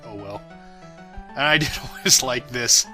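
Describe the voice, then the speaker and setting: A man with a bright, forward voice at a middling pitch talks casually over his own video. He sounds like the creator looking back on his work, self-deprecating and informal. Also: unhurried, soft background music. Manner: self-deprecating, chuckles between phrases. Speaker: creator of a parody series.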